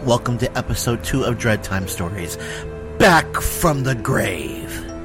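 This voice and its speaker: A man's voice: medium pitch, animated, measured pace. A man delivering a scripted introduction as the host of a horror fiction podcast. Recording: music under the voice. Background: incidental music.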